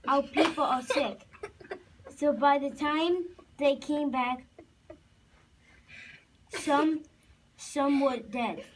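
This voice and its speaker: A child with a high voice tells a story for a puppet show. The delivery is slow and halting, with words repeated. The home recording is distant with faint noise. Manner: halting, repeats and restarts phrases. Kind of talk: live storytelling narration of a homemade puppet show.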